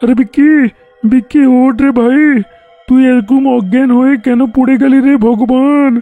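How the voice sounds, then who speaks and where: A man's voice: extremely high pitch, steady tone, unhurried, phone-quality sound, soft background music. A man playing a frightened, lamenting captive in a melodramatic cartoon ghost story. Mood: panicked, despairing.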